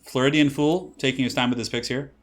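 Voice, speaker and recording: A man's voice: mid-range voice, fairly even intonation, brisk. Rambling young man casting a strategy game. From California. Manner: rambling, filler-heavy with many "like"s. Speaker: streamer and caster. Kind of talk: casual game commentary with chat.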